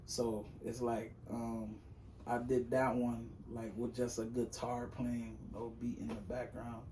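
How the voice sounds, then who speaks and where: A young man chats in a loose, rambling way, leaning on slang and fillers. His pitch is ordinary for a man, though he thinks of his voice as high. He sits back from the mic, and a faint hiss runs under the recording. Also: monotone, takes it slowly, dark tone, distant mic. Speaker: rapper and singer guest. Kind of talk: casual podcast chat.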